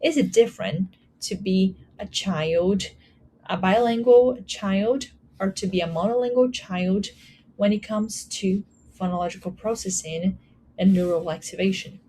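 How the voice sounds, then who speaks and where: A Brazilian woman, a native Portuguese speaker with a Brazilian Portuguese accent, presenting research at a conference in a measured way, with frequent ums and uhs. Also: mid-range voice, warm tone, a little hiss or hum, rough recording. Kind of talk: academic talk.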